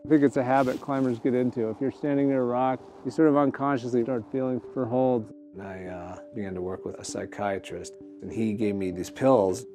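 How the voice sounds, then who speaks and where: A man's voice: mid-range voice, steady tone, a little fast, mellow, soft background music. A man in his forties speaking candidly and bluntly in a documentary interview. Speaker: climber in withdrawal.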